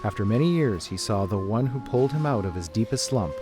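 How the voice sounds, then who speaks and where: A man's voice: fairly low pitch, expressive, fast. A man reading scripted story narration as a narrator, with music behind him.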